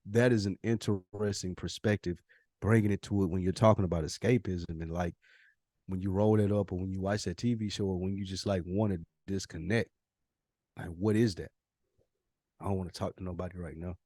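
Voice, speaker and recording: Deep, quick-talking man, probing. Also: fairly even intonation, mellow, dry recording. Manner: probing, joking, slangy. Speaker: podcast co-host. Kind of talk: casual conversation.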